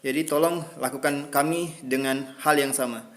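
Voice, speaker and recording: A man's voice: mid-range voice, fairly even intonation, moderate pace, a bit bright. A man from the Riau Islands making a firm, earnest appeal to commenters. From Riau Islands, Indonesia. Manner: firm, scolding but pleading.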